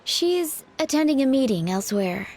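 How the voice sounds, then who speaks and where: Composed, slightly high-voiced funeral attendant. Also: female voice, very animated, darkish tone, slight background noise. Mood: composed, courteous. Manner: measured, formal, professional. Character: composed, discreet female funeral parlor attendant. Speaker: voice actor. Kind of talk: scripted video game dialogue.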